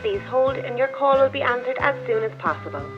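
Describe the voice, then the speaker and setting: A woman's voice: sits high, animated, fairly quick. A woman playing an automated helpline announcer, reading formal, impersonal hold messages. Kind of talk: scripted recorded phone announcement. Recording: dull phone-line sound with music.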